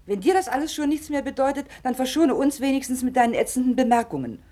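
A woman's voice: high voice, expressive, fast, dark tone. An actress playing a firm, reproachful middle-aged mother who holds to tradition, in a radio play. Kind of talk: late 1960s radio play acting.

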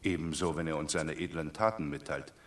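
A sententious Roman citizen in classic radio comedy-drama. His voice is very low. He reasons earnestly and pedantically, to droll effect. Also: a little fast. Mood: pedantic. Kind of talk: classic German radio play.

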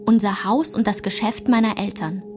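A woman playing a daughter soberly recounting her childhood in a radio play, measured and restrained, with a breathy, husky voice. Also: mid-range voice, fairly even intonation, a little mellow, slight background noise, natural voice. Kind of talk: literary radio play narration.

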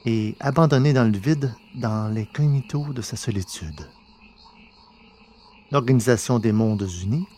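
A man's voice: medium pitch, darkish tone, slight background noise. Male narrator for scripted fiction, mid-range voice.

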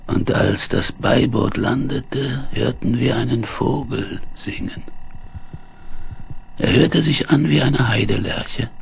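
Gravelly, dying space explorer. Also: male voice, mid-range voice. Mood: feverish, wistful. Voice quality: gravelly, breathy, often sinking into whispers. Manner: slow, halting, laboured delivery. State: weak, dying, short of breath. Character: dying male space explorer, delirious, haunted, despairing. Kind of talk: 1970s German radio play acting, dramatic monologue. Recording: hissy, humming 1970s vinyl recording.

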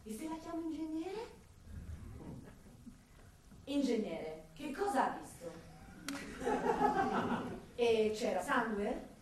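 A woman's voice: on the high side, sing-song, slow, long pauses, background noise. An actress playing a pushy, ambitious television reporter in a theatrical radio drama, insistent with her pleading questions. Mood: coaxing, prying. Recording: echoey, distant stage sound with music.

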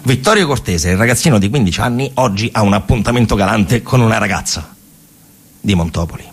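A man's voice: fairly low pitch, lively intonation, fairly quick. A man telling wartime history as radio theatre, in short, dramatic, repeated phrases. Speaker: stage actor and storyteller.

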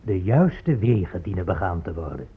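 An actor playing a pompous, paternalistic government official in a radio play. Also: male voice, sits low, big pitch swings, moderate pace, a little hiss or hum. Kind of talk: Dutch radio play acting. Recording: dull, lo-fi radio recording.

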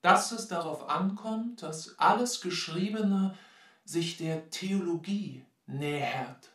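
Solemn playwright, high voice, measured formal delivery, roomy radio-drama sound. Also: male voice, a bit bright. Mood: reflective. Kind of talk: formal speech in a radio play. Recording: roomy, distant from microphone.

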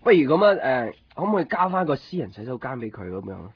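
A man's voice: mid-range voice, very animated, fast, slight background noise, lightly acted. A man acting an eager, agreeable shop owner in a radio drama, chatty and hesitant, with a Hong Kong Cantonese accent. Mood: eager planning. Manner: chatty, hesitant, repeats himself. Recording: dull lo-fi radio recording.

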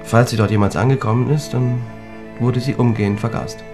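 An actor playing a sober scholarly man in a German radio drama, recounting a tragic history deliberately and precisely. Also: mid-range voice, fairly even intonation, close to an everyday voice. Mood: grave, sombre. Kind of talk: German radio drama dialogue, explanatory storytelling. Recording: studio radio play with music.

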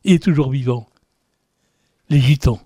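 A man's voice: medium pitch, unhurried, mellow, a little hiss or hum. A man hosting a radio music show, chatting casually to his listeners and correcting himself as he goes. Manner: chatty, addresses listeners directly; muses aloud and corrects himself. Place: radio studio.

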